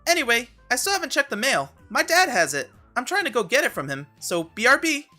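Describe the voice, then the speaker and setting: A woman's voice: fairly high pitch, big pitch swings, pushed and bright, soft background music. A woman voicing a goofy, defensive young teenage boy in a casual comic dub exchange. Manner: casual, brisk banter.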